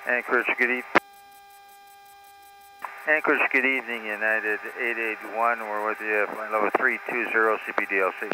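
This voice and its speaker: A man's voice: fairly low pitch, flat delivery, moderate pace, pauses often. A man working as an airline pilot, making clipped, formulaic radio calls to air traffic control. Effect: aircraft radio. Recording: noisy aircraft radio transmission.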